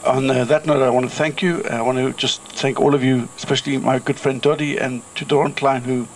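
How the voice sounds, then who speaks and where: A middle-aged South African man tells stories and thanks the crowd in a loose, rambling way, full of ums and restarts. His voice sits at an ordinary pitch, and faint insect and cricket noise hums in the outdoor air behind him. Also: level tone, a little fast, poor audio. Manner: chatty anecdotal storyteller with filler-laden restarts. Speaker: kibbutz chairman. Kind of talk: speech at an outdoor reunion gathering.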